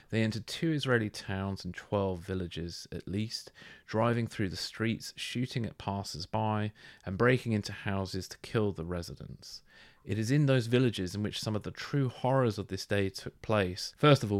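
Deep-voiced man co-hosting a podcast. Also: dry recording, clean studio sound. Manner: measured, earnest, often halting with fillers. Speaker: podcast co-host. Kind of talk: podcast discussion, reading from news notes.

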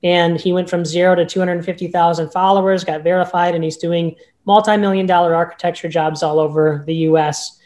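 A man with a notably high-pitched voice, a real estate agent speaking as a podcast guest in an upbeat, emphatic way. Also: flat delivery, brisk, darkish tone. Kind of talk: casual podcast interview.

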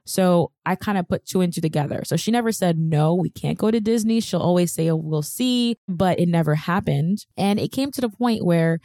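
A woman's voice: lowish voice, normal speed, few pauses, mellow, no room sound. A young woman hosting a podcast, telling her own story in a candid, chatty, self-deprecating way on a close mic. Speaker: podcast host. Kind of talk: personal storytelling monologue.

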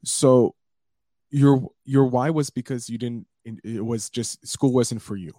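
A man hosting a podcast, opening with a showy welcome and then asking relaxed interview questions. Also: mid-range voice, a little slow, dry recording, studio quality. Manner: upbeat showman intro, then relaxed questions. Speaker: podcast host.

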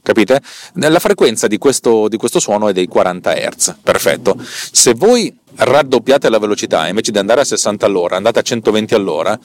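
A man's voice: lowish voice, slight background noise. A man hosting a podcast, explaining technical things casually and off the cuff, often repeating words and correcting himself. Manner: rambling, halting, self-correcting, repeating words. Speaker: podcast host. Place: inside a car.

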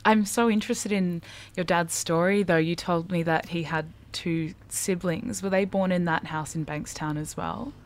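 A woman's voice: fairly low pitch, steady tone, normal speed, few pauses. A woman hosting a radio interview, asking curious questions and leading into a song. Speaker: radio show host.

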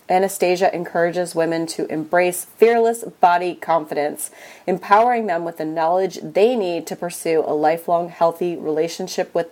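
A woman's voice: fairly low pitch, a little mellow. A Canadian woman hosting a podcast, upbeat and enthusiastic, saying "like" often in casual talk. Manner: upbeat and enthusiastic, with frequent likes. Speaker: podcast host. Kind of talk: podcast intro and casual interview.

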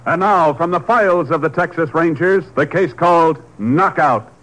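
A man announcing an old radio drama in a booming, formal manner. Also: moderate pace, heavy background noise. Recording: old radio recording over loud music.

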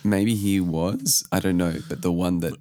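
Very deep-voiced man with the flu. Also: normal speed, warm tone, no echo, studio quality. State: sick with the flu, voice lowered. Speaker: podcast host. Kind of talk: casual music podcast chat.

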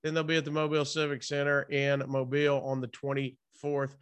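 Male radio interviewer, high-pitched and bright, informal jokey chat style. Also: monotone, fairly quick, smooth.

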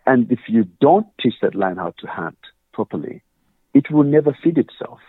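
A man's voice: medium pitch, very animated, moderate pace, husky, dry recording, narrow, tinny audio. A man with a Ugandan accent, a guest earnestly explaining his views with examples and quoted questions. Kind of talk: conversational interview.

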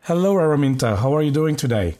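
A man hosts a relaxed podcast interview, his voice soft and mellow in tone. He speaks in a halting way, with frequent filler sounds and restarted phrases. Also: a little high. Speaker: podcast host. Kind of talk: casual interview hosting.

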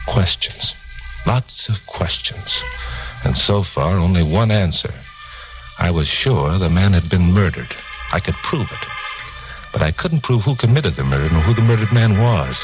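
Deep-voiced hard-boiled radio detective. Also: male voice, lively intonation, moderate pace, poor audio. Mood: grim, brooding. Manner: clipped, terse detective narration. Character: hard-boiled radio newsman sleuth, cool and dogged. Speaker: lead actor and narrator. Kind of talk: 1950s radio crime drama acting. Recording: old 1950s radio recording with music.

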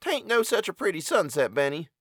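A man voicing a worried rural farm mother who speaks in rough country dialect. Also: high voice, sing-song, a little fast, no room sound, studio quality, pitched above the performer's own voice. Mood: anxious pleading. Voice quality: rustic dialect, pleading.

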